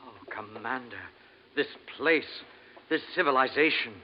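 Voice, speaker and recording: An actor playing a curious, easily awed expedition scientist with a hesitant manner in a vintage radio drama. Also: male voice, mid-range voice, unhurried, lightly acted. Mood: fascinated. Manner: hesitant, marveling. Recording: dull, faintly noisy old radio recording.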